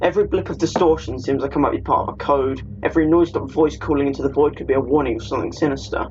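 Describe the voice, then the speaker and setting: A man's voice: medium pitch, very fast, a little mellow. A man narrating a video essay, partly scripted and partly casual. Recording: dull lo-fi audio with faint noise.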